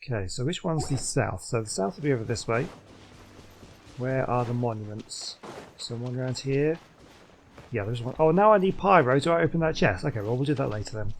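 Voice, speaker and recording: A man's voice: medium pitch, expressive, brisk, a little hiss or hum. A man giving casual gameplay commentary, hesitantly thinking aloud as he plays. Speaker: gamer doing a playthrough.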